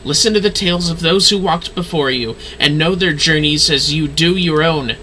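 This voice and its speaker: A man's voice: on the high side, moderate pace, bright, forceful tone, a little hiss or hum, voice pushed up, rough recording. A man voicing a solemn, kindly mystic mentor, reading game dialogue aloud in an earnest, theatrical way. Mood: solemn, reassuring.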